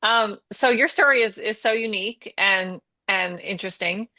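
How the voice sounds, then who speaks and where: A woman's voice: medium pitch, normal speed, pushed and bright, no echo. A woman co-hosting a podcast, asking friendly, slightly hesitant questions. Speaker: podcast co-host.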